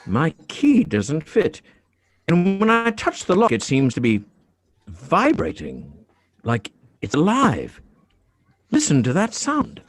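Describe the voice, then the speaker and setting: A man's voice: on the high side, wildly swooping pitch, slow, long pauses, no echo, faint music behind, heavily acted. A man reading the part of a puzzled, plain-spoken middle-aged policeman and father in a radio play, in a folksy manner. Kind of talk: live radio drama script reading.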